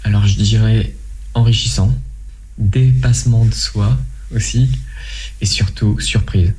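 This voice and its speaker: A twenty-year-old man from France answers interview questions about his volunteering, speaking in a measured way with some hesitations. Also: fairly low pitch, fairly even intonation, darkish tone, faint music behind, poor audio. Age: 20s. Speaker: guest, volunteer. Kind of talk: interview answers, partly read.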